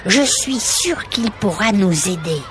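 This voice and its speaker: A woman's voice: mid-range voice, lively intonation, slow pace, a crowd in the background. A woman voicing an earnest, plucky young animal schoolchild in a children's audio story.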